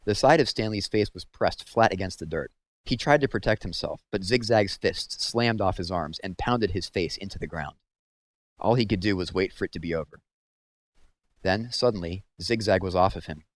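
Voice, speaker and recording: A man's voice: low voice, rough recording. A man reading a children's novel aloud as storybook narration.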